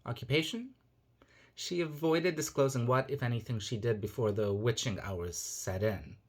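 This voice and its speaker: Brief: male essayist, raised between Nigeria and the US, mid-pitched, measured and earnest read. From Nigeria and the United States. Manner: measured, earnest, thoughtful delivery. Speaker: essayist reading his own blog post. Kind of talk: reflective personal essay reading.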